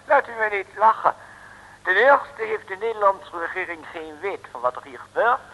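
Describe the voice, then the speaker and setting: An actor playing a haughty, threatening, crooked mayor in an old Dutch radio play, speaking pompously and formally. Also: male voice, sits high, a little slow, pushed and bright, full character voice. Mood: mocking, menacing. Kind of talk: 1950s-60s Dutch radio play acting. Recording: old radio recording, reverberant hall.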